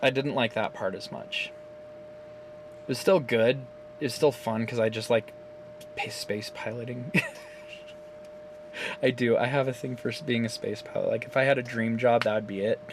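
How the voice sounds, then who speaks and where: Guy ranting about a game story on his phone cam, swears a lot, laughs a bunch, normal-pitched voice, faint hum behind. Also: normal speed, long pauses. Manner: animated, profane, laughs often. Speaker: gaming video creator. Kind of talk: casual rambling recap of a game story. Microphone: phone camera.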